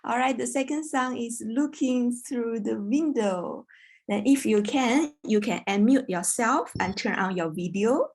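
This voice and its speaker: A woman from China who is not an English speaker, teaching a children's English class online in a slow, patient, clear way. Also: fairly high pitch, a little mellow. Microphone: video call.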